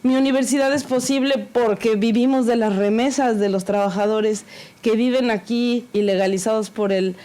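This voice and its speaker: A woman speaking earnestly at an academic conference panel, halting and full of fillers, openly nervous. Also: on the high side, steady tone, mellow. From Mexico. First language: Spanish.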